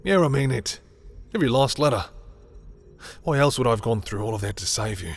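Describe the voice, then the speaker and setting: A man playing a proud, guarded yet tender villain in love, in an intimate romantic roleplay with dramatic, halting, confessional delivery. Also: mid-range voice, animated, husky, soft background music. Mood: fervent, defiant. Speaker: roleplay audio performer. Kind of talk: intimate second-person romantic roleplay acting.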